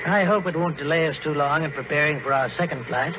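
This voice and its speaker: An actor playing a learned, thoughtful scientist professor in measured, precise 1940s radio drama style. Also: male voice, fairly high pitch, natural voice. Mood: grave. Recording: muffled, noisy old 1940s radio recording.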